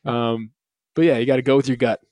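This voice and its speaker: A man hosting a casual podcast interview, talking in a relaxed, filler-heavy way. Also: medium pitch, fairly quick, dry recording, clean studio sound. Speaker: interviewer host.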